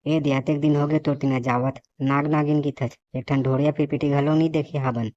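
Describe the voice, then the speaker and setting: A man's voice: fairly high pitch, steady tone, fairly quick, darkish tone, natural voice. A man voicing a weary, grumbling male cartoon character in comic dialogue, complaining sarcastically with a Chhattisgarhi accent. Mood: sarcastic complaining. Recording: dull lo-fi audio, faint noise.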